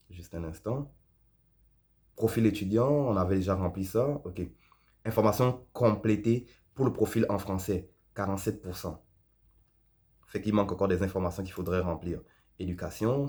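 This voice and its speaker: A man hosting a casual step-by-step tutorial, reading form questions aloud and thinking out loud as he speaks directly to the viewer. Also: lowish voice. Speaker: host of a tutorial video.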